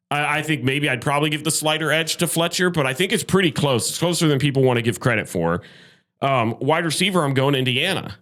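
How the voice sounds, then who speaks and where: Male sports podcast host, mid-pitched voice, opinionated and emphatic, conversational analysis backed by numbers. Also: a bit bright. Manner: opinionated, emphatic, cites statistics. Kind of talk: casual sports debate and analysis.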